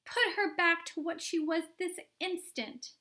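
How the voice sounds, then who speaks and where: A woman voicing a panicking middle-aged mother who screeches and yells at those around her. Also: very high-pitched, a little slow, pitched above the performer's own voice, exaggerated voice. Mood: shrill panic. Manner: screeching, frantic.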